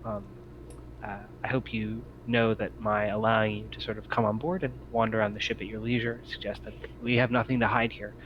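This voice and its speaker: Man playing an evasive android, muffled and low. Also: flat delivery, lightly acted. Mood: measured, firm. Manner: formal, measured, hesitant phrasing. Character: evasive, impatient male android aboard a derelict spaceship. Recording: muffled lo-fi mic with faint noise.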